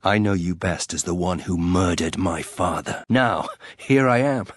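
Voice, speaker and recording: A man's voice: low-pitched, unhurried, dry recording. An actor playing a bitter, proud young nobleman turned warrior in a fantasy game, with a dry, sardonic, clipped delivery. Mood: sardonic, defiant. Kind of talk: fantasy video game voice acting.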